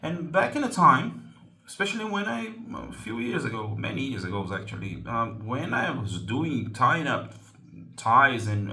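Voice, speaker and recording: A man with a Brazilian Portuguese accent talks casually in English at a middle pitch, often pausing on ums and restarting his sentences. His voice rings with the echo of a hard room. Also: bright, forceful tone. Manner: halting, many ums and restarts. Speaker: slingshot channel host. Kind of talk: casual tutorial explanation.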